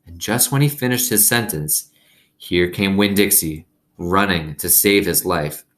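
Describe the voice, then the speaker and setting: A man reading a children's novel aloud as an expressive narrator. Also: deep voice, measured pace.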